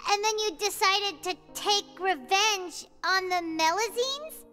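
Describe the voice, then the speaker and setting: A woman voicing a small, gentle, childlike female creature in a video game, with an inquisitive manner. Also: very high-pitched, slow pace. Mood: curious, surprised. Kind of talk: scripted video game dialogue. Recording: long hall reverb, loud music behind.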